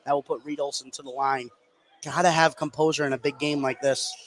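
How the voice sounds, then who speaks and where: A man with a fairly high voice calls a live basketball game play by play. Clear background noise from the gym runs under his commentary, and his delivery lifts excitedly when the action heats up. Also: a little fast. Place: indoor basketball gym at a tournament. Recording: noisy gym behind live broadcast.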